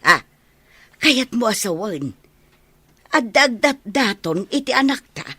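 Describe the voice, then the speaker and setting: A middle-aged village mother in a radio comedy drama. She is nosy and bossy, with a lively swing in pitch. The sound is close and dry. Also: measured pace, slightly rough, dry recording, heavily acted. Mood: nosy, suspicious.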